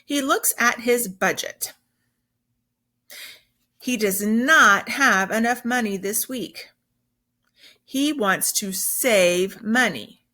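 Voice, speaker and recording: A woman's voice: a little high, slow, pauses often, bright, forceful tone. A woman teacher reading a children's story aloud, explaining simply and with emphasis as she goes.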